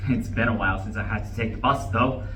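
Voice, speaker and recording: A sharp, bright voice of a fussy, self-pitying man, played by a male actor in a stage play. He grumbles uneasily about his lot. The room is echoey and the microphone sits at a distance. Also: on the low side, fairly even intonation, fast talker, close to an everyday voice. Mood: grumbling. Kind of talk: live stage play reading. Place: room at a live campus play reading. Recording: echoey, distant live recording, faint noise.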